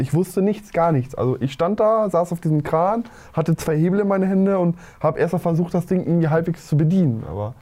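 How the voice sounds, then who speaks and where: Relaxed, mellow man in his twenties. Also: mid-range voice. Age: 20s. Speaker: podcast guest, young tradesman. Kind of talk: casual chat.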